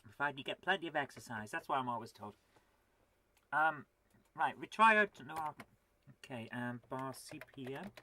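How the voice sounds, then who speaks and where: A woman's voice: extremely low pitch, lively intonation, normal speed, pauses often, bright, forceful tone. Chatty forty-year-old woman with a cold. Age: 40s. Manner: chatty, self-deprecating, talks to viewers while playing; mutters instructions to herself while playing. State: has a cold. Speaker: retro gaming host. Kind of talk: live gameplay stream chat.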